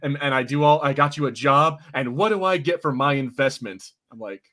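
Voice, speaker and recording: A relaxed man co-hosting a podcast at an ordinary middle pitch. He chats loosely, laughs a lot and now and then slips into quoted voices. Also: a little fast. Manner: laid-back, laughing, puts on quoted voices. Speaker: podcast co-host. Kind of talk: casual chat reading live chat comments.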